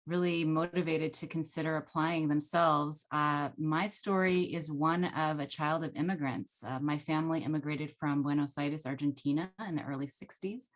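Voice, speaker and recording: Woman, middle-aged, low pitch, chatty storytelling, video call from home. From United States. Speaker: panelist, former Fulbright scholar. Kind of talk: telling her story on a panel. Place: home room, indoors.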